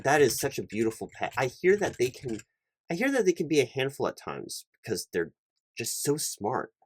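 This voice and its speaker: A man playing a low-voiced, rambling corporate recruiter. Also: no echo. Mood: friendly, businesslike. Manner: hesitant, wordy, repeats himself pedantically. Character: officious, rambling male corporate recruiting manager, polite and awkward. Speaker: ASMR roleplay performer. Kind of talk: one-sided ASMR roleplay, conversational.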